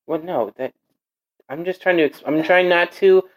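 A man in casual chat with friends, rambling and correcting himself as he talks. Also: sits high. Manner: rambling, self-correcting, quick to clarify.

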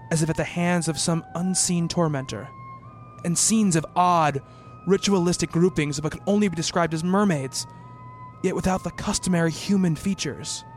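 A man plays the devoted, earnest boyfriend of a horror radio play. His voice sits fairly high for a man, and he tells the tale in measured, literary phrases with music underneath. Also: natural voice. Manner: measured, literary, formal storytelling. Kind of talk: dramatic radio play narration and dialogue.

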